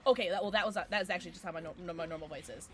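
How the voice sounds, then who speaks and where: Woman streamer, alto, sarcastic banter with viewers, bright and bouncy delivery, faint hum behind. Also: very fast. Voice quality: low alto speaking voice. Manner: chatty, sarcastic, reacts to chat with sudden squeals and laughs. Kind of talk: casual livestream chat while gaming.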